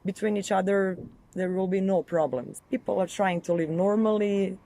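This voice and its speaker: A woman from Serbia, Serbian native, giving a calm, earnest recorded message. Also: medium pitch, moderate pace, vocal fry. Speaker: viewer contributor.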